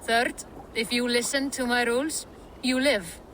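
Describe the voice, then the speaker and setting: An actress playing a bold, bossy Norse ship captain in a video game, speaking in firm, clipped commands. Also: female voice, on the high side, steady tone, measured pace, fairly bright tone, a little hiss or hum.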